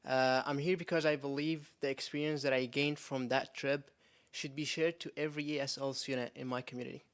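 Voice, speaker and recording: A man's voice: medium pitch, flat delivery, fairly quick, clear, resonant tone. A teenage boy from Syria introducing himself, with hesitant fillers. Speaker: student presenter.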